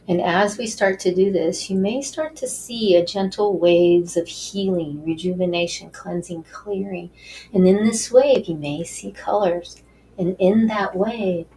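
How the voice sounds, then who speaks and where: A woman leading a guided healing meditation, talking softly and slowly and drifting into breathy whispers. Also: lowish voice, a little hiss or hum, rough recording.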